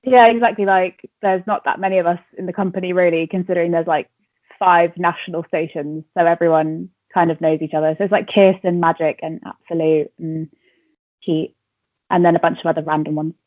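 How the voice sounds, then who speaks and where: A woman's voice: fairly low pitch, steady tone, fairly quick, no echo. A woman in her twenties from Wales with an English accent, chatting casually as a radio presenter with lots of fillers and laughs. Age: 20s. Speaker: radio presenter and entertainment reporter. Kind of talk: casual interview answers. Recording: tinny phone-line sound.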